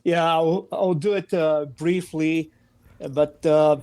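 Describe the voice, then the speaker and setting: A man with a Bulgarian accent, his voice pitched a little high for a man, speaking on a podcast as a guest instructor. He pauses a lot with "uh" while he searches for words. The sound is dry and close. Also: fairly even intonation, a little mellow, smooth, no echo. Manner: many hesitations, frequent "uh". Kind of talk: podcast interview.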